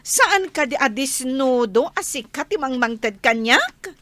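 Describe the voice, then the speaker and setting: Brash, high-pitched flirty Filipina. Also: female voice, expressive, takes it slowly, bright, forceful tone, dry recording, exaggerated voice. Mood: defiant, mocking. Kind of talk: radio drama acting.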